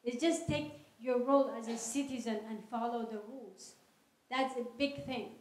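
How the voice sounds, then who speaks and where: A woman answering audience questions at a lecture in an earnest, measured way, speaking into a handheld microphone in a large hall. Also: a little high, fairly even intonation, darkish tone, a little hiss or hum. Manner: earnest, measured, non-native phrasing. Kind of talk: public Q&A talk. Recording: echoey hall.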